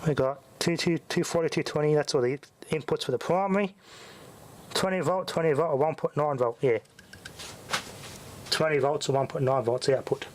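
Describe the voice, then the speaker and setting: A man's voice: fairly high pitch, moderate pace. Man thinking aloud over noisy recording. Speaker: hobbyist electronics tinkerer. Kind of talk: casual, off-the-cuff repair commentary.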